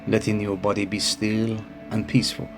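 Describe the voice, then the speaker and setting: Calm guy doing a guided meditation, deep voice, clear music playing behind him. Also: rough recording. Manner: calm, soothing, unhurried phrasing.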